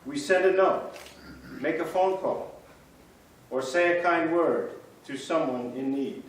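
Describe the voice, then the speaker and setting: A man's voice: fairly high pitch, slow pace, slight background noise. A man reading a church history script aloud in reader's theater, telling the stories with a storyteller's build-up. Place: large church sanctuary. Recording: echoey church from far off.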